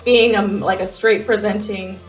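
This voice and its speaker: A young woman with a mid-pitched voice chats casually as a podcast co-host, in slangy, bantering storytelling. The room is noticeably echoey, with music faintly in the background. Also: fairly even intonation, a little slow. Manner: slangy, laughs easily, banters. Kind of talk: casual chat, animated storytelling. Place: small home garage studio. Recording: roomy echo, faint music.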